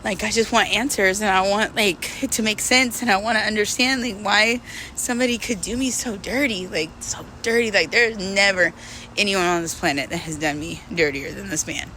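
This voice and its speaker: A woman talking in a casual, confessional monologue, candid and halting, repeating words for emphasis. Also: mid-range voice, sharp tone, slight background noise, poor audio. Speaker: vlogger.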